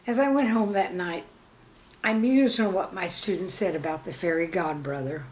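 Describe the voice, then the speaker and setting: A woman reading scripted stories and verse as a channel host, with a measured, deliberate delivery. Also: lowish voice, a little mellow, slight background noise. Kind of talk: scripted storytelling and poem reading.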